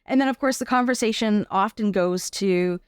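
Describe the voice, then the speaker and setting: A woman's voice: mid-range voice, normal speed, clear, resonant tone, dry recording, studio quality. A Canadian woman talking as an interview guest, explaining her work in a chatty, conversational way and often checking in with the listener. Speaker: interview guest, artist and arts accessibility advocate.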